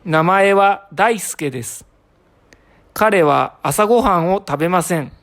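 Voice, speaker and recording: A woman's voice: fairly low pitch, lively intonation, extremely slow. A woman from Japan reading short lesson passages aloud carefully, as a native speaker for learners.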